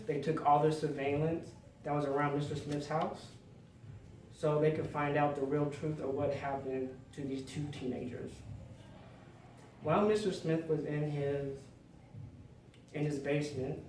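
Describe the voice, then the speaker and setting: A man delivering a prepared storytelling speech, phrasing it deliberately and dramatically with pauses. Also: a little high, darkish tone. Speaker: public speaker. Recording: roomy, distant mic, faint noise.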